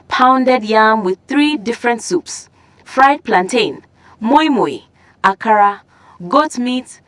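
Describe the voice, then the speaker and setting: A woman's voice: a little high, dry recording. Woman storyteller in a very dry recording. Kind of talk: scripted folktale narration.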